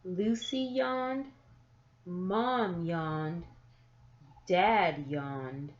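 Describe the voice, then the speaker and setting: A woman reading a children's picture book aloud, with deliberate, expressive phrasing and drawn-out words for young listeners. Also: on the low side. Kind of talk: children's story read-aloud. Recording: echoey room, slightly muffled sound.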